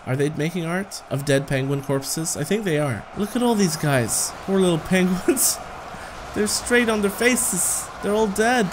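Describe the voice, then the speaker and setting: A man's voice: fairly high pitch, noisy background. A man in his thirties giving casual, playful gameplay commentary as a gaming video host, talking to the game and chuckling often.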